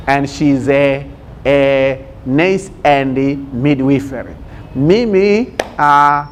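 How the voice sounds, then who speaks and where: A man with a Tanzanian accent speaks as a teacher introducing an online lesson, deliberately putting each point across and then repeating it in translation. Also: a little high. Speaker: online secondary school teacher. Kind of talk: bilingual lesson introduction, alternating English and Swahili. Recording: clear background noise.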